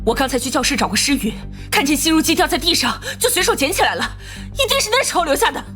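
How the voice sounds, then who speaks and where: Desperate bitter anime mom, super high piercing voice, pushy and dramatic, middle-aged. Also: female voice, big pitch swings, fast, fairly bright tone, faint music behind. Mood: frantic, pressuring. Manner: theatrical, pressuring. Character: desperate, bitter, domineering middle-aged mother, cornered culprit. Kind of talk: dubbed animated crime drama.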